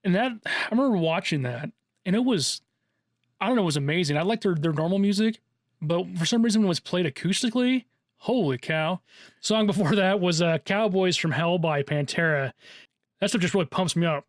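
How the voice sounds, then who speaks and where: A man's voice: high voice, expressive, brisk, dry recording, studio quality. A middle-aged American man hosting a radio music show, chatting informally between songs in a conversational way. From Seattle area, United States. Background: music, guitar.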